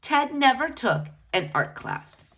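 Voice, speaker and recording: A woman reading a biography aloud for children in an expressive, teacherly way. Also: sits high, takes it slowly. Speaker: children's book reader.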